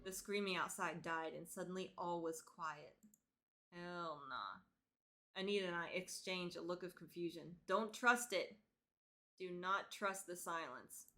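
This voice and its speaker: A woman streamer reading a visual novel aloud in a dramatic, expressive and exclamatory way. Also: lowish voice, measured pace. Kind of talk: dramatic read-aloud with playful reactions. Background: music.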